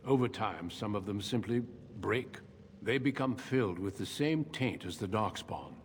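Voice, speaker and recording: Low-voiced, grave warrior mentor in echoing hall. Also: male voice, lively intonation. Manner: measured, formal, courteous. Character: calm, grave veteran human warrior mentor. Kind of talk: video game voice acting. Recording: echoey cave reverb, noisy ambience behind.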